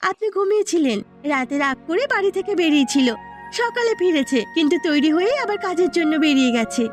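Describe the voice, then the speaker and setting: A woman voicing a village wife in a cartoon, animated and expressive. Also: extremely high pitch, fast, over music. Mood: earnest, gossiping. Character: village women, a peasant's wife and her elderly mother-in-law. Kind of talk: Bengali cartoon voice acting.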